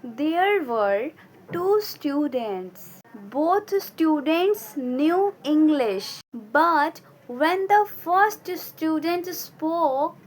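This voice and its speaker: A woman giving scripted speaking lessons to viewers, delivered deliberately with emphasis and pauses between short phrases. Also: sits high, lively intonation, poor audio. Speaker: English-speaking coach and channel host. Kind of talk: scripted instructional talk to viewers.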